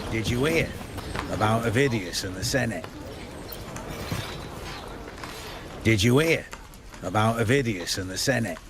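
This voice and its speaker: A man's voice: fairly low pitch, moderate pace, noisy background, lightly acted. An actor playing a doubtful, gossiping man of a fantasy city in a video game, skeptical and conspiratorial. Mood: curious, gossipy.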